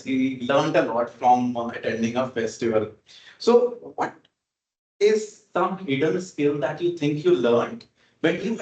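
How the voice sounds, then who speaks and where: High-voiced man hosting a club meeting. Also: darkish tone, echoey room, rough recording. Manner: friendly, hesitant with fillers. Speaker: table topics master.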